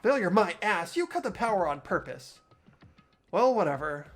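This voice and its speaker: An earnest teenage hero voiced by a man narrates his thoughts in a lively, expressive voice that rises and falls. His tone is anxious but determined, and he keeps to an everyday pitch. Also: moderate pace, faint music behind. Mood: exasperated. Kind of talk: fan voice acting of a game.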